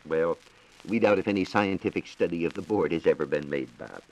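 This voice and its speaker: Deep-voiced American man, 1940s radio science reporter. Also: rough recording. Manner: measured, explanatory, formal. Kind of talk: 1940s radio science talk, answering questions. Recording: 1940s radio transcription disc.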